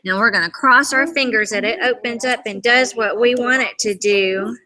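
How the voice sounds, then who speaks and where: A woman's voice: a little high, moderate pace, a crowd in the background, low-quality recording. A woman librarian hosting a read-aloud for young children, encouraging them and exclaiming as she goes.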